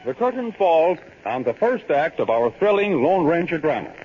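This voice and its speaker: A man's voice: on the high side, sing-song, moderate pace, loud music behind the voice. A man working as a grand, dramatic announcer for an old-time radio drama. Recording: muffled, hissy old radio broadcast.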